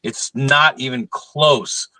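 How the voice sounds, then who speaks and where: A man's voice: mid-range voice, slow, pushed and bright, no room sound, low-quality recording. A man in a casual panel discussion, speaking insistently and repeating phrases to press his point.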